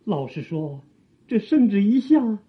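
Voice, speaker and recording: A woman acting an aging, servile, guilt-ridden palace eunuch in an audio drama, with a thin, husky voice and halting, sighing, theatrical delivery. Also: medium pitch, big pitch swings, narrow, tinny audio. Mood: submissive, resigned.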